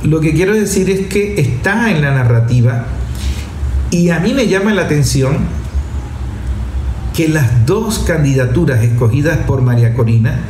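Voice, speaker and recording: A man's voice: a little high, lively intonation, moderate pace, a little mellow. A man with a Venezuelan Spanish accent giving an informal, emphatic opinion monologue as a political commentator, in a conversational way. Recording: roomy echo, faint background noise.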